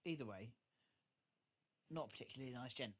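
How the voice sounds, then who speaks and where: A man's voice: mid-range voice, moderate pace, slightly rough. A British man co-hosting a podcast, chatting casually and bluntly about an episode. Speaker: podcast co-host. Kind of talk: casual episode review chat.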